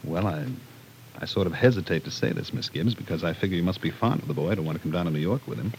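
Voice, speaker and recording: An actor playing a tough, matter-of-fact New York police detective, blunt and businesslike, in an old-time radio drama. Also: male voice, deep voice, lively intonation, fast, creaky, darkish tone. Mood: blunt, grave. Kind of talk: old-time radio soap opera acting. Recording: noisy old radio recording.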